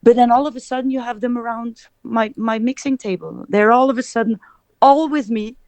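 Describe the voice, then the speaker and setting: A woman's voice: fairly high pitch, fairly even intonation, brisk, darkish tone, dry recording. A young adult woman from Belgium, a DJ, talking reflectively in a podcast interview with many restarts. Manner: reflective, many restarts, speaks of feeling and energy. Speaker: DJ guest.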